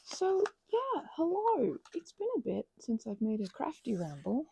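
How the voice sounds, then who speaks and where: Gravelly, husky woman rambling soft ASMR. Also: high voice, huge pitch swings, unhurried. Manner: rambling, meandering, slips into whispers. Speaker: ASMR crafter. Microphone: close microphone.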